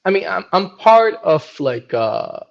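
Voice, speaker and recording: Gravelly man from Venezuela on a call. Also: sits high, sing-song, a little slow, highs cut off. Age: 30s. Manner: hesitant, many restarts and fillers. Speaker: guest. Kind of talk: casual podcast chat.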